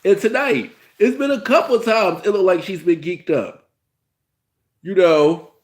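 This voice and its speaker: A man's voice: sits high, a little slow. A man in his thirties with a cold, rambling through a casual review with many fillers and restarts. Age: 30s. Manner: rambling, frequent "you know" fillers, restarts. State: has a cold. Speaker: host. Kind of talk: casual reality-TV review vlog.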